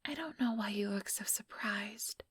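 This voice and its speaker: A woman playing an ambitious vampire elder in a whispered ASMR roleplay, breathy, deliberate and patronizing, with cool menace. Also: medium pitch, a bit bright, no room sound, clean studio sound. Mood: smug, gloating.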